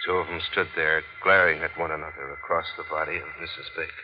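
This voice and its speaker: Scared working-man narrator, very low voice, grave and dramatic, dull vintage radio sound, music underneath. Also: little pitch movement, fast. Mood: grim recounting. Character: frightened working man narrating his ordeal as reluctant witness. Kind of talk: 1940s radio thriller first-person narration. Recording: muffled old radio, music behind.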